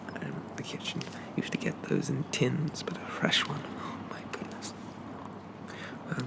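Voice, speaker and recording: Man playing a slow, creaky, whispering shy zombie gentleman. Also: medium pitch. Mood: amazed, wistful. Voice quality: soft, breathy half-whisper with creak. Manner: hesitant, stammering, quaintly polite, genteel. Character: shy, bashful, old-fashioned undead zombie gentleman roommate. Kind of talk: whispered ASMR roleplay. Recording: constant loud background noise.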